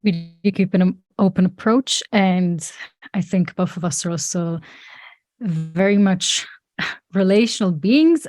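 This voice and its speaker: Low-voiced Swiss-woman hosting a podcast. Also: a little mellow, no room sound, studio quality. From German-speaking Switzerland. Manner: measured, hesitant, with frequent fillers. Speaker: podcast host. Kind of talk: casual podcast chat.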